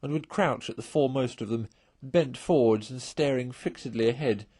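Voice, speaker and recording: A man's voice: mid-range voice, moderate pace, mellow, husky, no echo, studio quality. A man narrating a children's classic read aloud as a storybook. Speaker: audiobook narrator.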